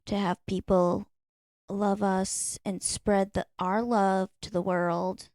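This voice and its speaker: A woman's voice: mid-range voice, flat delivery, slow, a little mellow, dry recording, clean studio sound. A woman in her late thirties co-hosting a podcast, playfully defending herself and talking earnestly in casual chat at home. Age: 30s. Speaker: podcast co-host. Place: apartment room, recorded together in person.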